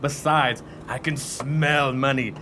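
A man's voice: mid-range voice, steady tone, slow pace, bright, forceful tone, a little hiss or hum. A man playing a pushy, cheerfully overconfident ice cream truck driver in a radio play, with folksy, brash salesman patter. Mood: boastful, gung-ho.

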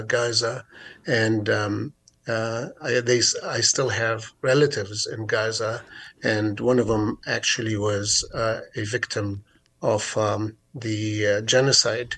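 A mature man with a Palestinian Arabic accent, giving an unscripted account in a radio interview in a halting, deliberate way with many hesitations. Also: mid-range voice, a bit bright. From Jerusalem, Palestine. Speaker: interview guest, advocate.

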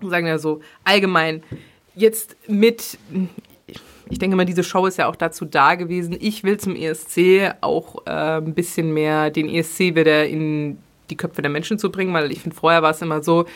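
A woman's voice: deep voice, fairly even intonation, normal speed. A woman hosting a podcast, asking questions in a chatty, informal and affirming way. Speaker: podcast host, interviewer. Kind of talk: casual conversational interview.

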